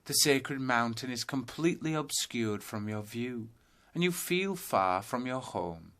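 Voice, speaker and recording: A man narrating a scripted guided yoga nidra meditation, telling a journey in measured second-person storytelling. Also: medium pitch, animated, a bit bright.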